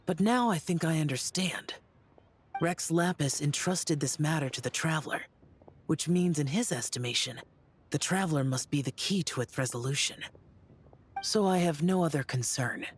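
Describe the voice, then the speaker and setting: An actor playing a stern, loyal young demon-slaying guardian in a video game, with a husky, breathy voice and grave, terse delivery. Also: male voice, a little high, unhurried. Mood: solemn, trusting. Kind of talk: game voice acting.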